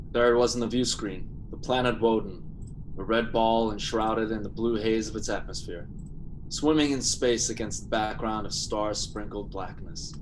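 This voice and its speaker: An actor playing a grim, resigned spaceship pilot in a science fiction radio drama, terse and matter-of-fact. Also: male voice, fairly low pitch, noisy background, close to an everyday voice. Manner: terse, matter-of-fact, halting when pressed. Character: grim, resigned male spaceship pilot, regretful but unbending. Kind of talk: classic science fiction radio drama acting with first-person narration.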